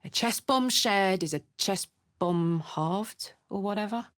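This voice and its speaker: A woman's voice: mid-range voice, a little slow, dry recording, clean studio sound, close to an everyday voice. A woman playing a tough, big-hearted, devil-touched warrior woman in a video game, with a blunt, earthy, sweary manner. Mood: casual, wry. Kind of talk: video game character voice acting.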